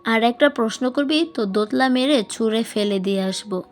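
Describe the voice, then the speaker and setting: A woman's voice: on the high side, normal speed, faint music behind, lightly acted. A woman voicing an irritable young uncle who snaps curtly at his niece in an audiobook story. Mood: irritated, scolding. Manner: scolding, curt.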